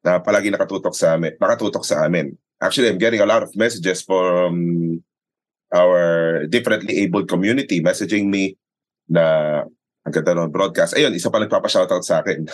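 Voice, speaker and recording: A man's voice: low-pitched, brisk, no room sound. A Filipino man, a sports radio commentator, chatting casually in an interview, explaining things and often checking for agreement. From Philippines. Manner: explanatory, often seeks agreement with tag questions. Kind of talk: casual interview chat mixing Tagalog and English.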